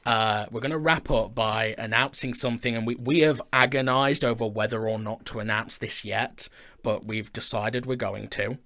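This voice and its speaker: A man's voice: mid-range voice, steady tone, moderate pace, pushed and bright. Enthusiastic man chatting as a co-host. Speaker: co-host, audio drama creator and film director. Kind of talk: casual chat, announcing projects. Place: indoor studio.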